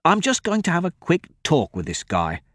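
A cheerful young warrior, played by a man argues kindly and brightly, his voice rising and falling with lively swings. He sounds easygoing and sure things will work out. The recording is close and dry. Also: on the high side, normal speed, dry recording, voice pushed up, full character voice. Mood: easygoing upbeat. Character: good-natured, easygoing young male swordsman.

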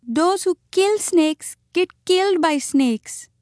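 A woman's voice: high-pitched, expressive, a little slow, darkish tone, dry recording, clean studio sound, pitched above the performer's own voice. A woman voicing a timid, broken-hearted little male muskrat in a storybook, tearful and pleading. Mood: sorrowful. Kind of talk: animal storybook character voice.

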